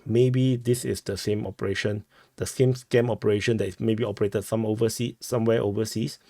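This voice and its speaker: A man with a Singaporean accent giving informal news commentary as a host, halting and often rephrasing himself. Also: on the low side, dark tone, no room sound, studio quality. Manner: halting, repeats and rephrases phrases.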